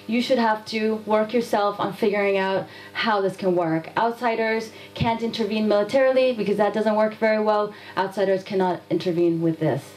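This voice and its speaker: A woman's voice: mid-range voice, darkish tone, rough recording. A woman from Afghanistan, giving an interview answer in a direct, challenging way with rhetorical questions. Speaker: guest from a nonviolence institute.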